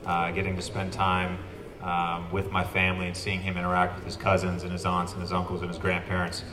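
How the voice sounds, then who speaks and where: Deep flat-voiced man in an echoey press room. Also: a little fast. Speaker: pro basketball player at media day. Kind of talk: press conference answers. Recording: echoey room, distant mic, crowd.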